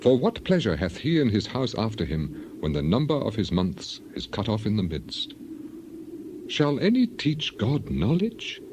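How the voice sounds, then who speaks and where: Casting: suffering, defiant ancient man in scripture drama; impassioned declamation, deep and highly animated, clear background noise. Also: a little slow. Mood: questioning, indignant.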